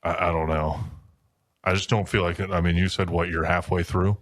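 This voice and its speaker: A man's voice: low-pitched, flat delivery, a little fast, no echo, clean studio sound. A man co-hosting a podcast, chatting informally and casually about books. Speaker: podcast co-host. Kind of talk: casual book chat.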